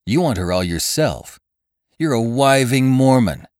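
A man's voice: lowish voice, sing-song, normal speed, dry recording, clean studio sound, voice pushed up. A man voicing a proud, passionate young male cowboy rider who answers with taunting defiance. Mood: defiant.

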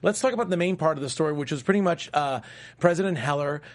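A man's voice: a little high, fast talker. A man co-hosting a casual TV recap chat, rambling and restarting his sentences. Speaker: TV recap show co-host.

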